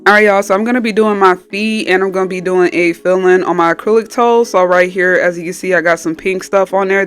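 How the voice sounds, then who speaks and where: Woman, stuffy nasal cold voice, casual step-by-step narration, faint music underneath. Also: on the low side. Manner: chatty, explains each step as she goes. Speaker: nail technician. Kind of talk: casual tutorial voice-over. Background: faint background music.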